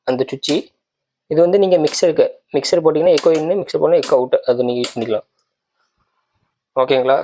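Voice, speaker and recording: A man's voice: on the high side, warm tone. A man giving a casual product walkthrough, explaining step by step and often checking that listeners follow. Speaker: tech channel presenter.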